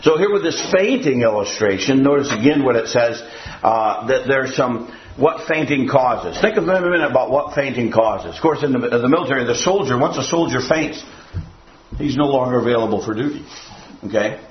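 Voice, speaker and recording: A man's voice: mid-range voice, expressive, fairly quick, roomy sound, lo-fi sound. An older man preaching a sermon to a church audience, rambling and folksy, with a habit of repeating himself.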